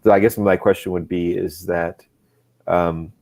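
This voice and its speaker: A man with a very low voice talks as a guest in a relaxed conversation. He chooses his words carefully and often repeats small words while finding his phrasing. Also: unhurried, gravelly. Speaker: guest, comics creator. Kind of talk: casual livestream chat.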